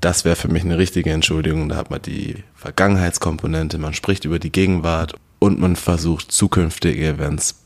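Deep gravelly man, earnest podcast co-host. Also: steady tone, fairly quick, few pauses. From half American, half German, born in Germany. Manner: earnest, explains step by step. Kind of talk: casual podcast chat.

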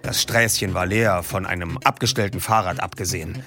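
A man's voice: low voice, normal speed, over music. A man reading a book passage aloud as narrator, telling the story expressively.

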